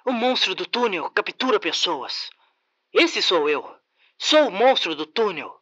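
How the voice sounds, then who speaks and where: A man's voice: high-pitched, very animated, measured pace, sharp tone, dull audio, voice pushed up, full character voice. A man voicing a pleading tunnel-dwelling monster that repeats itself obsessively. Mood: insistent.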